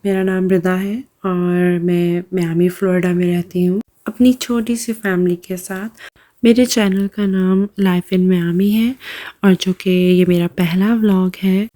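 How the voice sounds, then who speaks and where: Woman, thirties, Pakistani Urdu accent, hoarse from a cold, mellow dark tone, chatty voice-over with hesitations. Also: fairly low pitch, steady tone, measured pace. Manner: chatty, frequent hesitations and throat clearing. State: hoarse with a sore throat and cold. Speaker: vlogger. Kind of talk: casual voice-over narration.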